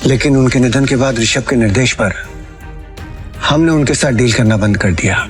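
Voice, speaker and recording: A man's voice: medium pitch, moderate pace, faint music behind, close to an everyday voice. An actor playing an older, loyal company adviser in a Hindi audio drama, speaking in a fatherly, deferential way. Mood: cautious, advising.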